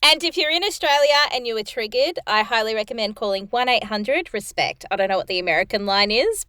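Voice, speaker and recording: A woman's voice: a little high, animated, fairly quick, pushed and bright, dry recording, studio quality. A woman chatting casually as a cast member in a group discussion. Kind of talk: casual chat.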